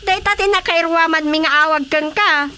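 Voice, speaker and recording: A woman's voice: very high voice, moderate pace, sharp tone, a little hiss or hum. A woman playing a cheerful, chatty rural aunt in an Ilocano radio comedy drama, exclaiming often.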